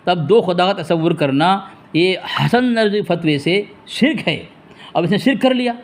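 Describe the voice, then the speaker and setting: A man's voice: high voice, unhurried. A man in a heated religious debate, speaking in a combative, scolding and repetitive way as he argues and addresses listeners.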